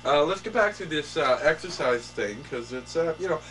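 Deadpan young mock talk-show host. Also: male voice, a little high, moderate pace, soft background music. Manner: mock-formal, hesitant, deadpan. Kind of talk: improvised mock late-night host. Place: small indoor set, couch and table. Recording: distant camera audio with hum.

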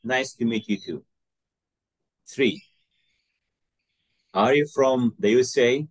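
A man teaching an English lesson over a video call, slow and patient, encouraging his student and repeating phrases. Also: mid-range voice, no echo. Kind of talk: online one-to-one English lesson.

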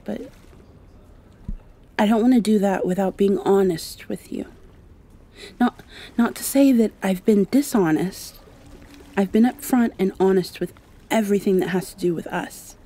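A shy, romantic young vampire girl acted by a woman in an intimate ASMR roleplay. Her voice is mellow and breathy, at a middling pitch. She speaks softly and hesitantly, with an earnest, confiding manner. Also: measured pace, pauses often, natural voice. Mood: earnest, nervous.

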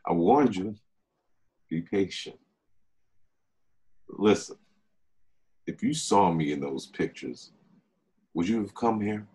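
A smooth-talking young charmer , acted by a man at a table read. His voice is very deep and husky, and he takes his time with each line of the film script. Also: lively intonation, slow pace, long pauses, mellow, full character voice. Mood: teasing, unapologetic. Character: smooth-talking man running an online dating deception. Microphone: video call.

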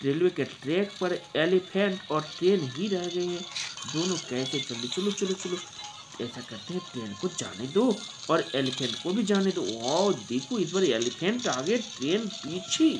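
A man's voice: a little high, animated, measured pace, background noise. An Indian man improvising play-by-play narration of toy play for children in an exclamatory way, with frequent wows and interjections. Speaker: presenter of toy play videos.

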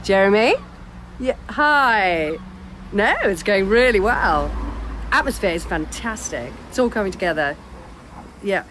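An actress playing a bossy, sardonic documentary film-maker in a radio drama, curt and dryly scornful. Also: female voice, medium pitch, expressive, a little slow, pauses often, fairly bright tone, slight background noise. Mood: brisk, bossy. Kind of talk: naturalistic radio drama acting.

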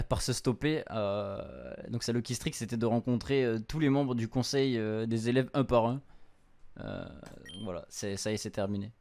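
A man's voice: on the low side, mellow, clean tone. A young adult man giving casual gameplay commentary, translating on the fly in informal, slangy speech peppered with English words. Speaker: streamer playing a visual novel. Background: game music.

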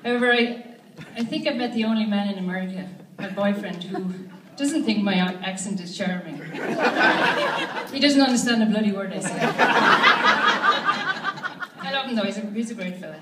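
A woman's voice: mid-range voice, moderate pace, heavy background noise. A young woman with an Irish accent doing a stand-up comedy set on a club stage, telling dry stories with punchlines into a handheld mic. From Ireland. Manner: wry, deadpan storytelling with punchlines. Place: comedy club stage before a live audience. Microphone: handheld mic, filmed from the audience. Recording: echoey club, from far off, with loud crowd laughter.

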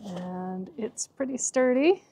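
A woman's voice: mid-range voice, animated, slow, mellow, slightly rough. A woman in her forties casually narrating a do-it-yourself vehicle project, chatty and laughing often, recorded in and around an SUV. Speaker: vlogger. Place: inside an SUV and a carport.

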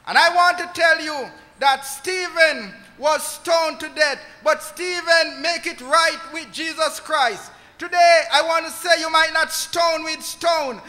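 A man preaching an impassioned sermon, often shouting in a large, echoing hall. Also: very high voice. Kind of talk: fervent sermon. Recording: long hall reverb.

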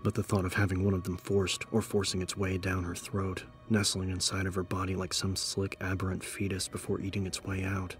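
A man's voice: low voice, monotone, brisk, hardly any pauses. A man narrating a horror audiobook in a scripted, dramatic style. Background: background music.

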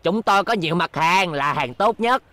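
A man's voice: high voice, lively intonation, normal speed, sharp tone, dry recording. A man voicing a chatty, eager souvenir seller in a dubbed space cartoon, talking in salesman patter. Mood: eager selling. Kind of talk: animated series dub acting.

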